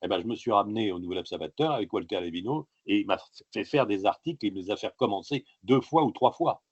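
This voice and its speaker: A man with a husky, fairly low voice, talking in a halting way and drifting from one tangent to the next, with plenty of filler sounds. The sound is dry and close, with a slightly dull, lo-fi quality. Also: no room sound. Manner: halting, digressive storytelling full of filler sounds. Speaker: author being interviewed. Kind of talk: casual interview talk.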